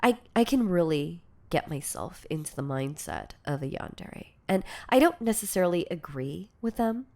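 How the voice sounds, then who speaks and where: A woman playing a shy, insecure, lovestruck girlfriend in an intimate ASMR audio roleplay, speaking in a hesitant, stammering, soft-spoken way. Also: on the low side, animated, normal speed, hardly any pauses. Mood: awkward, self-conscious. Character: shy, insecure, lovestruck yandere girlfriend confessing obsessive devotion.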